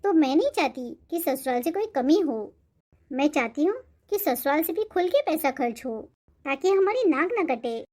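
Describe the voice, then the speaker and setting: A woman's voice: sits high, animated, normal speed, mellow. A woman voicing a spoiled, boastful young rich bride-to-be in a cartoon story, coquettish and demanding. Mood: demanding, haughty. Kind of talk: Hindi cartoon moral-story voice acting.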